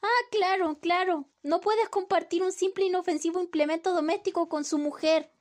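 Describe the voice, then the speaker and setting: A young woman plays a clingy, melodramatic wife in a student radio play. Her voice sits very high, and her delivery is theatrical and pleading. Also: fairly even intonation, normal speed. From Chile. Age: young adult. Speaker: student radio drama actor. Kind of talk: amateur radio drama acting.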